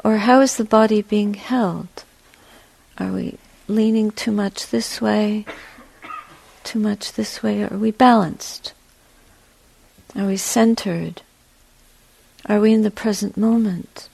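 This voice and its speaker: A woman meditation teacher speaks very slowly and gently, leaving space between short phrases. Her soft voice is husky with a creaky edge, guiding listeners step by step in a measured way. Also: medium pitch, steady tone, poor audio. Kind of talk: guided meditation talk.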